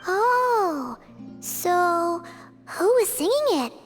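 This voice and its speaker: Tiny fairy sidekick, extremely high-pitched, lively swings, curious, childlike, video game, music behind. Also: female voice, very slow, exaggerated voice. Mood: confused. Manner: exclamatory, questioning, expressive. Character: small chirpy childlike fairy companion, excitable and curious. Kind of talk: English video game voice acting. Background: background music.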